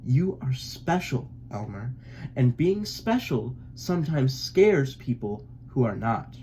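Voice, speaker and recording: A gentle storybook mama duck, voiced by a man, tender and reassuring. The delivery is soft and unhurried, with some noise behind it. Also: mid-range voice, very animated.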